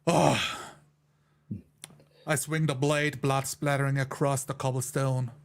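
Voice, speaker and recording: A man's voice: mid-range voice, level tone, takes it slowly, gravelly. A man playing a tabletop roleplay game, describing his character's actions.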